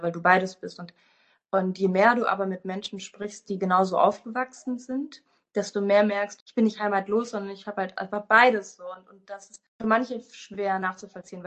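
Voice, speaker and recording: A young woman from Germany giving a reflective personal account, often pausing to search for words. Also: mid-range voice. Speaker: interviewee.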